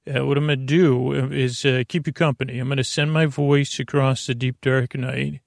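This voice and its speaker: A man hosting a bedtime podcast in a gravelly voice, rambling haltingly, interrupting himself and drifting off on tangents. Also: medium pitch, steady tone, dry recording, clean studio sound. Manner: meandering, halting, self-interrupting, tangent-prone. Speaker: podcast host.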